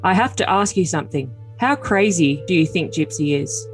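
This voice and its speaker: A woman's voice: on the low side, lively intonation, moderate pace, soft background music, lightly acted. A woman voicing an earnest, defensive, lovesick young man in a dramatic reading of text messages. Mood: earnest, hesitant. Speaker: podcast cast reader.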